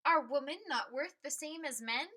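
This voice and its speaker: Woman voicing a high, bold woman crusader. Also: moderate pace, pushed and bright. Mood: earnest. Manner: firm, declarative, oratorical. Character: bold, determined woman crusader for equal rights.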